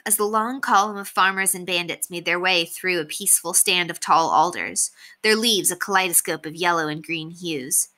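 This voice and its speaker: A woman reading a children's novel aloud as expressive, scripted narration. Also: on the low side. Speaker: story reader.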